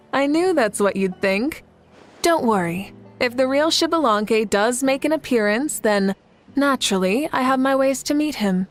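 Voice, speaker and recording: A woman voicing a confident, composed leader with a playful side in a video game, speaking in a measured, assured and lightly teasing way. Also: sits high, lively intonation, soft background music.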